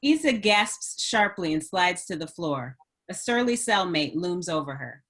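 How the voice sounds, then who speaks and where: A woman's voice: fairly low pitch, big pitch swings, unhurried, fairly bright tone. A woman reading screenplay stage directions as a scripted narrator.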